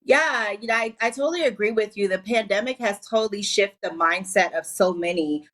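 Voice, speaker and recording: An upbeat woman from Liberia, in her forties, talks as a guest on a TV talk show. She sets out her business advice point by point, in a voice of middle pitch. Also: fairly quick, fairly bright tone. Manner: upbeat, gives advice in clear numbered tips. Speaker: entrepreneur guest.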